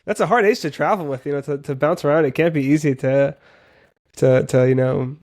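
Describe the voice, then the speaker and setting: A man's voice: a little high, a little fast, a little mellow. A man in his thirties hosting an interview, friendly, curious and encouraging with his guest. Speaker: podcast host. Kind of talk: casual interview chat.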